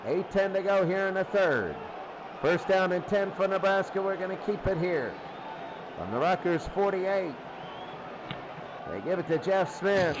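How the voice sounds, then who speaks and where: A man calling football play-by-play live in an excitable, exclamatory way, often repeating phrases. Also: high-pitched, noisy background. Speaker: play-by-play sports commentator. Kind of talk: live American football commentary.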